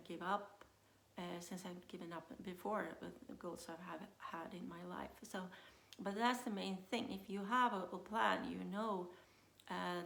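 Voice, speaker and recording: A woman coach with a Swedish accent, speaking English as her second language, talking to camera in a relaxed, unhurried way with many pauses and restarts. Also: fairly low pitch, vocal fry, roomy sound. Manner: unhurried, thinks aloud with many uh fillers and restarts. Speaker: self-leadership coach. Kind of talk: casual talk to camera.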